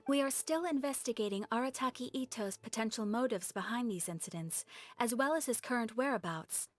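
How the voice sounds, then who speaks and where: An actress playing a dutiful, courteous official in a video game, speaking formally and precisely. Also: female voice, on the high side, animated, fairly quick, natural voice. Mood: composed, formal.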